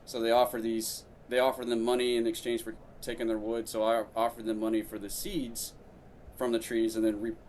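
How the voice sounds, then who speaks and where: A man's voice: mid-range voice, monotone, fairly quick, a little mellow, slight background noise. A man in his thirties from Brazil, a podcast guest telling his story in casual, rambling chat full of fillers and restarts.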